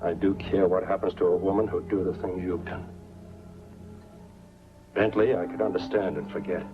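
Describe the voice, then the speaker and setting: A man's voice: low voice, fairly even intonation, normal speed, lightly acted. An actor playing a protective, plain-spoken small-town policeman, tough but tender, in the earnest style of 1940s film noir. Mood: grave, reluctant. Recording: muffled 1940s film soundtrack with music behind.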